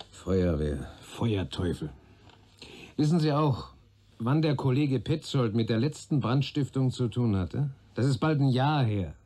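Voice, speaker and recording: A man acting a wry older police chief in a radio crime play. His husky, mellow voice swings in lively melody as he rambles, jokes and grumbles to a junior colleague. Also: mid-range voice, lightly acted. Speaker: actor in a radio crime drama. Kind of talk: German radio play dialogue.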